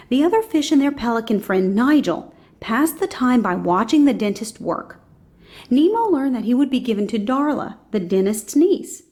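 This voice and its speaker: Higher-pitched woman reading a children's story. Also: warm tone. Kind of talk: scripted storybook narration read aloud for children.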